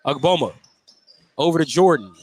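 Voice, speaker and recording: A man calling live basketball play-by-play on the radio, getting excited on big plays. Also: fairly high pitch, normal speed. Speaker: play-by-play announcer. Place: basketball gym at a tournament.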